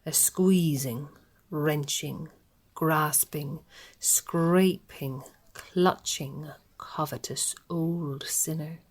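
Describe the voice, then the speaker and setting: Slow husky Irish woman narrator. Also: low voice, steady tone. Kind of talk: storytelling narration.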